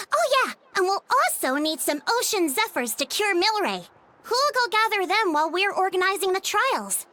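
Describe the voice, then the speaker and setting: A woman's voice: extremely high pitch, big pitch swings, moderate pace, sharp tone, slight background noise, full character voice. A woman voicing a cheerful, eager young girl in a video game. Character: bright upbeat young girl traveler companion.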